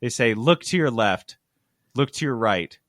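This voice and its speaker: Male gaming podcast host, average pitch, dry sound. Casual, emphatic, sardonic, sweary explainer. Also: a little slow, no room sound. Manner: animated, emphatic, sweary, sarcastic. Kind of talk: casual comic explaining of game industry news.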